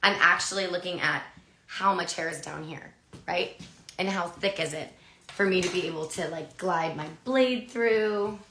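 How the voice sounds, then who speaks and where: A woman in her thirties with a raspy voice, a little hoarse from a lingering cough, teaching a live online haircut in a chatty way, often adding "right?". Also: on the low side, moderate pace, fairly bright tone, reverberant, slight background noise. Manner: chatty, filler-heavy, asks "right?" often. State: recovering from a cough, losing her voice. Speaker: hairdressing educator. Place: studio with a grey backdrop, indoors.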